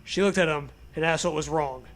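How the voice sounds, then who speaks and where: A man's voice: fairly high pitch, a little fast. A young man hosting a comedy podcast, reading bad fan fiction aloud in a casual, irreverent, jokey way. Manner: jokey, irreverent, laughs often.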